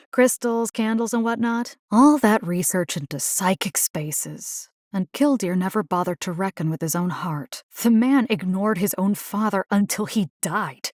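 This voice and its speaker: A woman's voice: medium pitch, darkish tone, no room sound, studio quality, lightly acted. An actress voicing a resolute, caring, plain-spoken young woman in a video game, earnest and conversational with a wry edge. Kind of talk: acted video game character dialogue.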